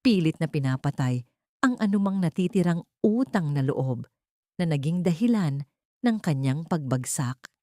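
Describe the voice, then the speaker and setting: A woman narrates a dramatic audiobook story from a script. Her voice is very low for a woman and dark, mellow in tone. The recording is close and very dry. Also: lively intonation, normal speed, dry recording.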